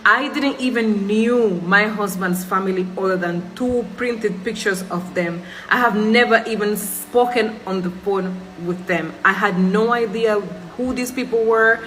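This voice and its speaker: A woman's voice: mid-range voice, a little slow, few pauses, a bit bright, a little hiss or hum, rough recording. A Cuban woman in her forties whose first language is Spanish, speaking English with a Jamaican and Spanish-mixed accent in an earnest, pleading personal monologue. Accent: Cuban Spanish mixed with Jamaican Patois. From Cuba. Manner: earnest, pleading, repeats phrases for emphasis.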